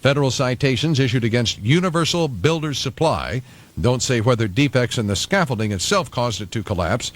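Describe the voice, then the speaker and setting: Male radio newsman with lively, varied pitch. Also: a little fast. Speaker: radio news anchor. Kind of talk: scripted local news reading. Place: radio studio.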